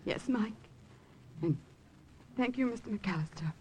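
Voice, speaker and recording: An actress playing an earnest, idealistic young sweetheart in an old radio drama, pleading in a breathy voice. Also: female voice, medium pitch, lively intonation, a little slow, warm tone, slight background noise, close to an everyday voice. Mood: pleading, urgent. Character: earnest, idealistic woman, gambler's loyal sweetheart. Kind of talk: 1950s radio drama acting.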